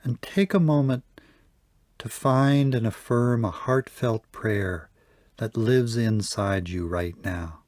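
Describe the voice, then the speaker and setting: A man leading a guided yoga nidra meditation, speaking softly and calmly with long pauses. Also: medium pitch, no room sound, clean studio sound. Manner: slow, soft, calm guiding with long pauses. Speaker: meditation teacher.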